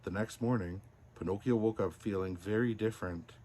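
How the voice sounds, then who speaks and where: A man narrating a children's storybook as Santa, in an expressive, deliberate way. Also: lowish voice.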